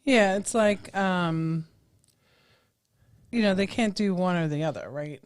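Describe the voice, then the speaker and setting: A woman's voice: on the low side, slow, smooth. A middle-aged woman co-hosting a live stream, chatting casually with short agreeing replies into a studio mic. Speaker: co-host. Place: small studio room with a purple backdrop. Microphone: studio mic on a boom arm.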